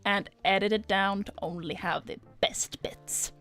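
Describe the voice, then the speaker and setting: A woman's voice: medium pitch, steady tone, unhurried, a bit bright, soft background music. A woman voicing a glamorous, scheming hedgehog businesswoman in an improvised cartoon chat, suave, commanding and theatrically persuasive. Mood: smug, insistent. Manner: suave, theatrical, commanding, calls others darling. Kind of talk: improvised in-character livestream chat.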